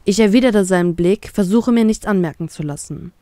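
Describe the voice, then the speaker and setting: Female narrator, first-person audiobook style, scripted reading, medium pitch, dry recording. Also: brisk, dark tone, no echo. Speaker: narrator of a fan fiction story.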